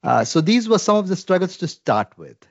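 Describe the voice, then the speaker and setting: A man's voice: high voice, sing-song, fairly quick, gravelly, no room sound, muffled recording. A man from India with an Indian English accent, a Salvation Army minister talking earnestly and reflectively, with frequent fillers. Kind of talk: conversational interview.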